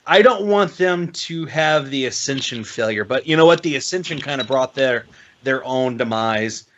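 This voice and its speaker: A man co-hosting a podcast, chatting about wrestling in an informal, animated way. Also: mid-range voice, normal speed, muffled recording. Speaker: podcast co-host. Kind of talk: casual chat about wrestling. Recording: noticeable background noise.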